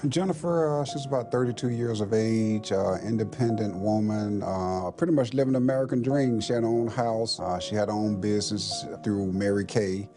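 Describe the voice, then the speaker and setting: A middle-aged man with a lowish, very mellow voice speaks as a police investigator being interviewed. His answers are plain and matter-of-fact, with faint music behind. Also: normal speed, hardly any pauses. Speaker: police lieutenant, homicide investigator.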